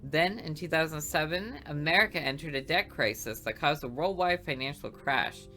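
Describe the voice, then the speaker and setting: A woman voicing a sarcastic, lazy male high school student in a game, talking in a dry, smug drawl. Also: moderate pace, bright, forceful tone, soft background music.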